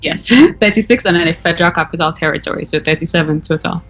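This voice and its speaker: A woman's voice: fairly low pitch, fast, a bit bright, faint music behind. A young woman from Nigeria, talking casually as a caller, with lots of fillers and self-corrections. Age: young adult. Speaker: anonymous caller. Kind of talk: casual interview.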